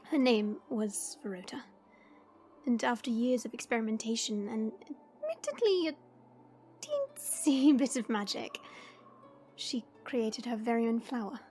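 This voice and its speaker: A woman playing a gentle dragon princess in an audio roleplay, speaking in a measured, formal and tender way. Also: a little high, animated, soft background music. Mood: wistful, tender. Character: gentle dragon princess, proud gardener, softly regretful.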